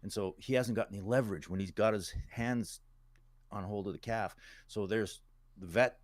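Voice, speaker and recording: A man's voice: fairly low pitch, moderate pace, no room sound. A middle-aged man from Calgary, a musician guest, telling stories in a folksy, plain-spoken way. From Calgary, Alberta, Canada. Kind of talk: casual interview storytelling.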